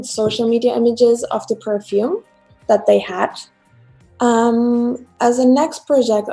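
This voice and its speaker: A woman's voice: medium pitch, gravelly, dark tone, rough recording. A woman from Turkey with a Turkish accent, chatting casually as a guest artist about her own work on a live stream. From Turkey, Istanbul. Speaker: guest illustrator and digital artist. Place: at home, remote video call.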